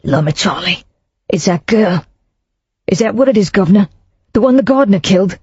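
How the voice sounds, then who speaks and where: A reader voicing a smarmy Cockney salesman in his thirties, nervous and wheedling, pushing the pitch up. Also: expressive, fairly quick, a little mellow, breathy edge, no room sound. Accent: Cockney overlaid with refinement. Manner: wheedling, panicky, raised pitch. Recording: dull lo-fi, dry.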